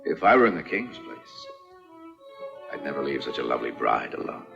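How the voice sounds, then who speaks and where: A man's voice: very deep voice, very animated, normal speed, creaky, fairly bright tone, dull audio, soft background music, full character voice. A man playing a boastful, flirtatious nobleman and swordsman in a dubbed period adventure film, with a cocky, courtly, theatrical delivery. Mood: yearning, flirtatious.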